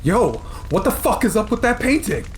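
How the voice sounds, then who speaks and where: An actor playing a brash, irreverent modern teenager who talks in slang. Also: male voice, sits high, big pitch swings, moderate pace, soft background music. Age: teens.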